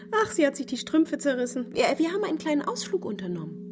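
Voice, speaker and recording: A woman's voice: high-pitched, sing-song, fairly quick. An actress in a radio drama playing a disturbed, imperious, spiteful woman who insists she is her alter ego. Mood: spiteful, confiding. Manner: haughty, agitated, snapping.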